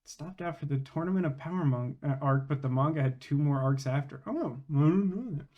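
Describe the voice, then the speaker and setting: High-voiced man chatting on a livestream. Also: brisk, lo-fi sound. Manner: rambling, thinking aloud. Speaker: livestream host, gamer. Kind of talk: casual livestream chat to viewers.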